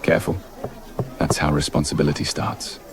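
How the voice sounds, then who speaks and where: A man playing a wry, unflappable young king in an animated fairy tale, speaking in terse, dry deadpan. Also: a bass voice, brisk, noisy background. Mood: wry, calm. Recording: music behind the voice.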